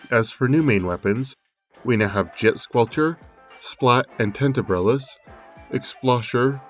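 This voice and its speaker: A man's voice: fairly low pitch, muffled recording. A man narrating a scripted video game analysis, with conversational asides thrown in. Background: music.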